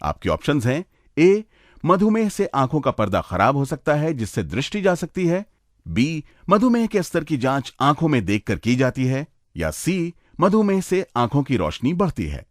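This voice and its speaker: A man's voice: fairly high pitch, sing-song, normal speed, no echo, studio quality. A man hosting a radio show in a conversational, playful and slightly theatrical way.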